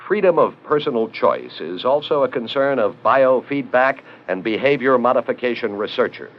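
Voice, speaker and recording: An American man narrates a 1970s educational film with lively, expressive intonation. His voice sits over prominent background music, on a dull, band-limited film soundtrack. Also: mid-range voice. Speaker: documentary narrator.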